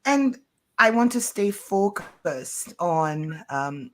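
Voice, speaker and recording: A woman hosting a community radio interview, speaking in a formal, deliberate, courteous way. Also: fairly low pitch, expressive, fairly bright tone. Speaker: radio show host.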